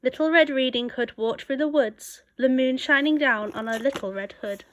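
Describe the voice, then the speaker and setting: A woman reading a rhyming picture book aloud as a storyteller, expressive and rhythmic. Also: high voice, normal speed, a little hiss or hum.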